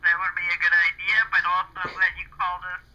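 A woman's voice: on the low side, completely flat delivery, quick pace, sharp tone, dull audio. An American woman working as a call centre agent, courteous and businesslike, reading a script over speakerphone. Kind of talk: scripted customer service phone intake.

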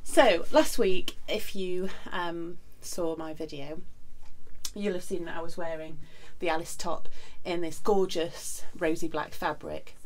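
A woman in her forties, hoarse from the flu, chatting casually to camera in her home sewing room. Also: lowish voice, poor audio. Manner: chatty, friendly, many ums and asides. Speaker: sewing vlogger. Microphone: camera in front at desk.

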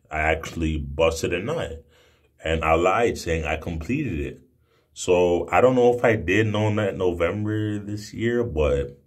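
A young man in his twenties with a fairly low voice delivers a casual monologue to camera. His talk is brash and slangy, full of emphatic swearing. He sounds like a video blogger recording on a phone indoors. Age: 20s. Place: small room indoors. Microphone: phone at arm's length.

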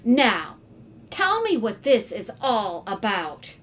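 Woman acting a high, fussing storybook mother. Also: big pitch swings, takes it slowly, slight background noise. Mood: exasperated. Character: harried, affectionate mother and housewife.

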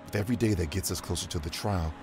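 A man's voice: low voice, very fast, darkish tone, lightly acted. A man acting a world-weary, dry-witted police detective in an audio drama, loyal and sardonic. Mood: protective. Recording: prominent music under the dialogue.

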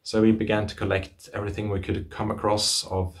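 A man, giving a measured, matter-of-fact technical presentation to camera. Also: fairly low pitch, flat delivery. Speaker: loudspeaker engineer and author.

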